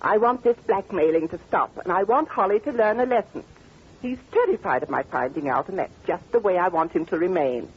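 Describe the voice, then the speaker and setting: British duchess, old radio drama, husky voice, swooping intonation, sardonic, theatrical, dull vintage recording. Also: female voice, lowish voice, animated, a little fast, exaggerated voice. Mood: brisk, amused. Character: brisk, shrewd duchess, former music-hall star, mockingly amused.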